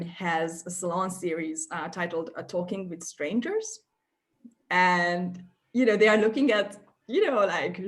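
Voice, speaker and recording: A woman in her thirties from Eastern Europe, hosting a casual discussion in a thoughtful, discursive way, often checking in with the listener. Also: fairly low pitch. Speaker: host of an online discussion salon.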